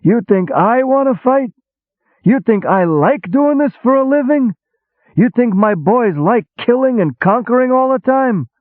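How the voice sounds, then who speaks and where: A man's voice: high-pitched, normal speed, dry recording, voice pushed up. A man voicing a brash, sarcastic army boss who talks like a streetwise gangster. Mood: outraged. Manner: sarcastic, excitable, shouting, streetwise gangster talk. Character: loud brash middle-aged male army boss. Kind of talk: audiobook character voice. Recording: tinny phone-like sound.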